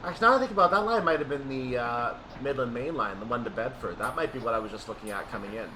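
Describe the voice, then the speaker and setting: A man's voice: medium pitch, lively intonation, very fast, noisy background. A man doing casual, explanatory gameplay commentary, thinking aloud as he goes. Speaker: gaming video creator narrating train simulator play.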